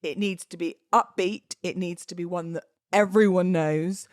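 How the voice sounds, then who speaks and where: A British woman hosting a podcast solo, talking in a casual, cheeky, expressive and informal way. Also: lowish voice, moderate pace, no echo, studio quality. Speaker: podcast host.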